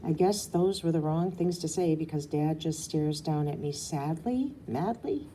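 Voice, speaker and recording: Mellow, dark-toned woman reading a story. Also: deep voice, measured pace, slight background noise, low-quality recording. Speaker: audiobook reader. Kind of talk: expressive storybook narration, first person as a girl.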